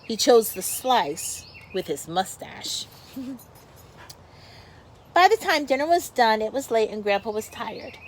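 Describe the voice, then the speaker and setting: A woman with a fairly high voice reads a storybook aloud as a teacher would for a young class. Her delivery is expressive, and she steps out of the text to explain things to the children. Noticeable background noise sits behind her voice. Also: takes it slowly, pauses often. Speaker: teacher reading a picture book aloud to pupils.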